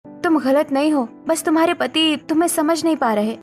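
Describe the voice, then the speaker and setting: Supportive, assertive young daughter-in-law female actor, high-pitched, animated family drama, music bed. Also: moderate pace, close to an everyday voice. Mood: earnest. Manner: earnest, firm.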